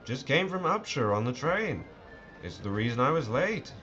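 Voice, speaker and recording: A man's voice: medium pitch, very animated, moderate pace, bright, forceful tone. A man playing a haughty, evasive English lord and minister in an audio drama, with pompous, clipped aristocratic British speech. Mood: breezy, evasive. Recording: dull, band-limited sound with music behind.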